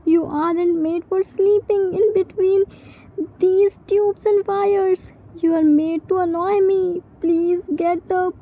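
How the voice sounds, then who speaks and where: A woman voicing a grieving young man who scolds and pleads in halting phrases, in a dramatised fan story. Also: very high voice, steady tone, warm tone, voice pushed up, low-quality recording. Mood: pleading, anguished. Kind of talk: dramatised fan-fiction dialogue.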